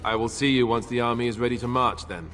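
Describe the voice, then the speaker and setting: A man's voice: medium pitch, steady tone, fast, fairly bright tone, soft background music, close to an everyday voice. A young man voicing an earnest warrior in a fantasy game, casual and a little hesitant, with a wry edge. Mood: uneasy.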